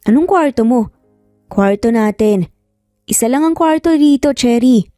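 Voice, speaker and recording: A woman's voice: a little high, lively intonation, a little slow, dark tone, no room sound, clean studio sound. A Filipino woman voicing a bossy, playful young man in love, in a teasing, commanding way. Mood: playfully bossy. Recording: music behind the voice.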